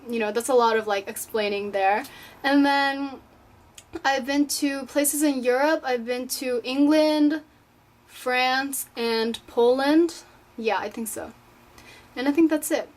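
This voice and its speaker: An eighteen-year-old woman from Japan chatting casually in a vlog, answering viewer questions at home in her room, rambling and filling her talk with "like". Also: fairly high pitch. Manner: chatty, rambling, fills with "like" often.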